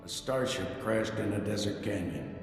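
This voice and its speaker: An actor playing the solemn ghost of a dead Jedi mentor, gravelly, with a ghostly echo, in a video game. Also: male voice, fairly low pitch, moderate pace. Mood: solemn, urgent. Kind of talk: video game cutscene acting. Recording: heavy echoing reverb, music behind.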